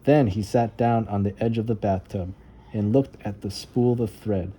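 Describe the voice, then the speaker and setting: A man reads a picture book aloud to children as narrator. His voice is very dark and mellow, with no sharp edge at all. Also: lowish voice, fairly even intonation, moderate pace, slight background noise. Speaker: storybook reader.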